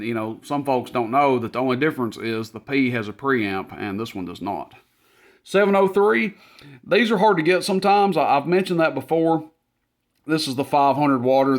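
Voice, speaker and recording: A man talking casually through shop inventory as its owner, in a plainspoken, informal, folksy way. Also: mid-range voice. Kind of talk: casual product rundown.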